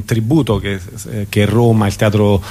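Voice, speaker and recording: A man acts as a radio interviewer. His voice is on the low side, and he speaks conversationally, pausing to search for words. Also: mellow, slightly rough.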